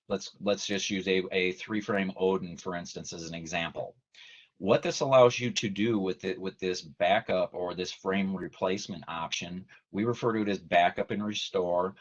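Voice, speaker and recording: A man giving a measured, methodical technical explanation as a trainer in an online webinar. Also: low voice. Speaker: technical trainer, company presenter. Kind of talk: explanatory webinar presentation, semi-scripted. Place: online video meeting. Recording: dull lo-fi video call audio.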